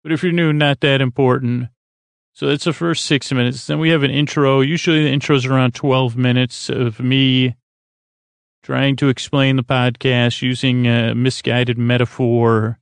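An American man hosting a bedtime sleep podcast in a creaky, lulling tone, rambling and halting as he stumbles over his words with plenty of fillers. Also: mid-range voice, steady tone, dry recording. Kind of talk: rambling bedtime monologue meant to lull listeners to sleep.

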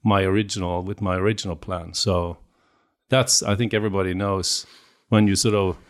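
Low-voiced Norwegian man, halting and hesitant. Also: steady tone. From Norway. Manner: hesitant, with many fillers and restarts. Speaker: guest. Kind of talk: casual interview.

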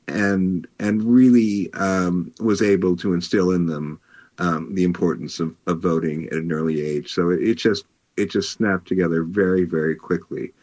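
A man speaking as an editor hosting a book talk, in a measured, articulate and slightly hesitant conversational way. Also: low-pitched, darkish tone, dry recording, dull audio.

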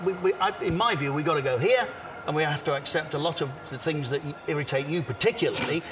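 Self-assured older man, slightly higher voice. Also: recorded from a distance. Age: 60s. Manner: measured, self-assured, with restarts. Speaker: interview guest, former broadcaster. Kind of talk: reflective interview answers. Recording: outdoor location, audible city noise.

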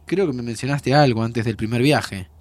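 An actor plays a sympathetic male friend in an audio drama. His middle-pitched voice has a Rioplatense accent. He talks informally and expressively, with curiosity, in a very dry recording. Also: moderate pace, darkish tone, no room sound, lightly acted. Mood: concerned. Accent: Rioplatense Argentine. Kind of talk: naturalistic audio drama dialogue.

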